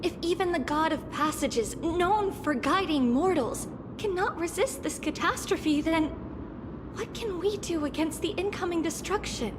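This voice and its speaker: A woman's voice: very high voice, unhurried. A woman voicing an anguished, devoted young girl in a dramatic video game, earnest and grave. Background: game music.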